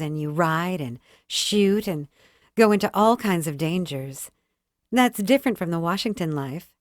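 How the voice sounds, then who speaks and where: A woman voicing a well-bred young society woman, warm and poised, with deliberate, genteel speech in a storybook reading. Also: on the low side, no echo, studio quality, natural voice.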